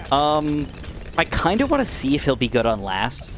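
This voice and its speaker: A young man giving casual, excitable gameplay commentary, his voice a bit dry and raspy, swinging from upbeat outbursts to mumbled asides. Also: fairly high pitch, unhurried, slight background noise. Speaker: gaming YouTuber.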